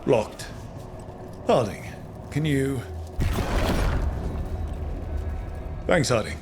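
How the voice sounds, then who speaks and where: A man's voice: mid-range voice, sing-song, slow, background noise. An actor playing an informal, reassuring male leader of an adventuring party in a video game, with a wry manner. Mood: puzzled. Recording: music under the voice. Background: game music.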